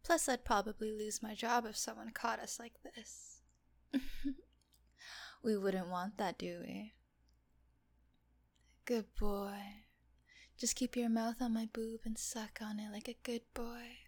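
A woman voicing a teasing, doting female nurse in an intimate audio roleplay, talking softly and often in a whisper. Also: a little high, fairly even intonation, slow pace, pauses often. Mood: teasing, coaxing.

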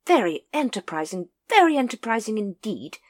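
A woman voicing a hearty, booming upper-class lady who talks in a gushing, brisk and jolly way. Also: very animated.

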